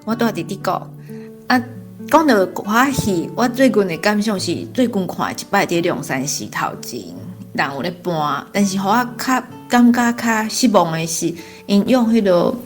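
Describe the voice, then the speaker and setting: A Taiwanese woman with a slightly low voice speaks reflectively as a discussion guest. She gives measured, informal opinions over faint music. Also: vocal fry, husky. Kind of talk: informal spoken book reflection.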